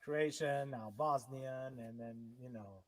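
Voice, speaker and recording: A man from Serbia, a native Serbian speaker, hosting a casual livestream chat in a halting way, with many restarts and fillers. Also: mid-range voice. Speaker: host.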